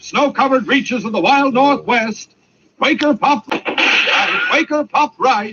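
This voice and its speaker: A man announcing an old-time radio serial and its sponsor in a dramatic, declamatory way. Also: very high voice. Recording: noisy, muffled vintage radio recording.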